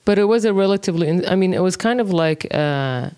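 A relaxed woman in her thirties, speaking as a guest in a conversational interview. She thinks aloud as she goes, pausing on plenty of fillers. Her delivery stays easygoing and unhurried. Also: lowish voice, clear, resonant tone. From Kuwait. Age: 30s. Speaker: interview guest, music producer.

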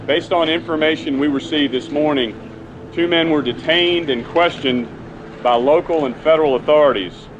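A man's voice: on the high side, normal speed, highs cut off. A man giving a formal press statement as a police spokesman. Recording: noisy outdoor archive press conference audio.

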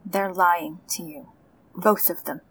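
An actress playing an anxious woman crew member giving a secret warning in an audio drama, urgent, halting and nervous. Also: on the low side, steady tone, fairly bright tone, husky, exaggerated voice. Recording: slightly distant, faint background noise.

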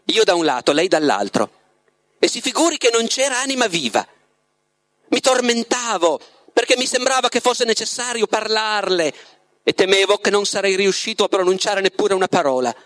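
Male reader as tormented romantic writer in a clinic, confiding and dramatic, higher-set voice, dry recording. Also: expressive, normal speed, a bit bright, no room sound. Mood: wistful, confiding. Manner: confiding, dramatic, addresses the listener with rhetorical questions. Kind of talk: dramatic literary reading.

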